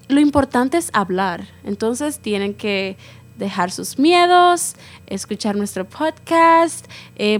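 A woman hosting a casual podcast interview, asking questions and summing up her guest's answers with encouragement. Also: on the high side. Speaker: podcast host.

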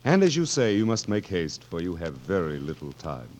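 A man's voice: deep voice, wildly swooping pitch, moderate pace, a little mellow. An actor playing a sly, menacing king, drawling with mock forgetfulness and gloating in 1940s radio drama style. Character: sly, sardonic, menacing king toying with his victim. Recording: faintly noisy vintage 1940s radio broadcast.

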